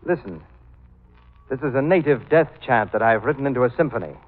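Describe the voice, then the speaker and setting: A man's voice: mid-range voice, lively intonation, fairly quick, close to an everyday voice. Man acting a suave killer on tinny vintage radio. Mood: mocking affability. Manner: affable, mannered, mocking politeness. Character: suave, sinister male killer, cultured and gloating. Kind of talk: old-time radio drama acting. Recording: tinny band-limited old radio recording, music behind.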